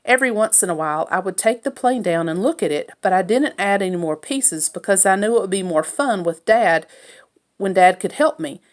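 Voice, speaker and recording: A woman's voice: medium pitch, lively intonation, fairly quick. A woman reading a children's novel aloud as a first-person narrator.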